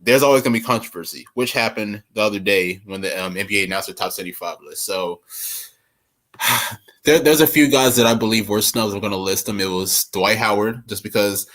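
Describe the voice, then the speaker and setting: A man's voice: lowish voice, fairly quick, fairly bright tone. A man in his twenties chatting casually and rambling about basketball, laughing often. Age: 20s. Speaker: podcast co-host. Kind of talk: casual sports chat.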